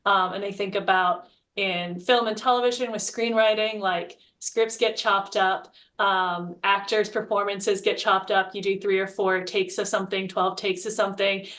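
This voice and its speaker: A woman's voice: mid-range voice, steady tone, normal speed, few pauses, fairly bright tone. A woman speaking as a panelist in a reflective discussion, explaining her thinking in a thoughtful, conversational way. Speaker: panelist, playwright and screenwriter.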